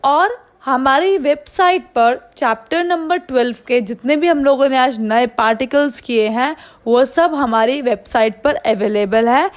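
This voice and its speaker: A woman language teacher with an Indian accent and a high voice. She takes her time, explaining phrases piece by piece in a didactic way and putting questions to the learners. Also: measured pace, hardly any pauses. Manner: didactic, checks understanding with questions. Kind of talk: online language lesson with line-by-line translation and explanation.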